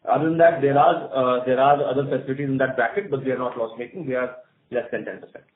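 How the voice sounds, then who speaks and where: A man's voice: medium pitch, steady tone, fast talker. A man with an Indian accent, a company executive answering questions on an earnings call, hesitant and repeating words. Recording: phone-like conference line with faint noise.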